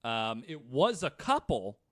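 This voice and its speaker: A man's voice: mid-range voice, big pitch swings, slow pace, a bit bright. An American man co-hosting a podcast, telling a story from notes with casual banter. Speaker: podcast co-host.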